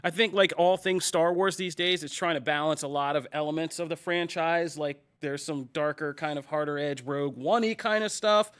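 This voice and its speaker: A man's voice: fairly high pitch, normal speed, hardly any pauses, a bit bright, clean tone. A man hosting a casual pop-culture podcast, talking energetically with lots of fillers and jokes. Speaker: podcast host. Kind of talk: casual panel chat.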